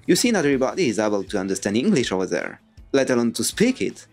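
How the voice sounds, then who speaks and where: French-accented man with lively pitch. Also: fast talker, soft background music. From France. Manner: sarcastic, animated delivery. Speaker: YouTube reviewer. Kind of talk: scripted video essay. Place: home room with bookshelves.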